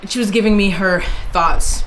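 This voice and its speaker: A woman's voice: medium pitch, normal speed, slight background noise, poor audio. A woman chatting casually on camera, rambling with fillers and restarts. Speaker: book vlogger. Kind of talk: casual book haul chat. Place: indoors, in Germany.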